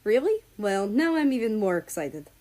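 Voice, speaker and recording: A woman's voice: medium pitch, very animated, moderate pace, warm tone, lightly acted. Woman voicing an easygoing, polite male friend. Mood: pleased. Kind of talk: acted storybook dialogue.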